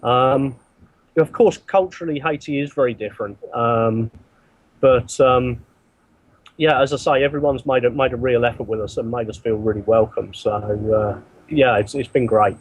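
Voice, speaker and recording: Halting, self-repeating man. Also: mid-range voice, fairly even intonation, breathy edge, dry recording, poor audio. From not from the country he works in. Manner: halting, repeats words often. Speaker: interview guest, mission builder. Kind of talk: remote interview answers.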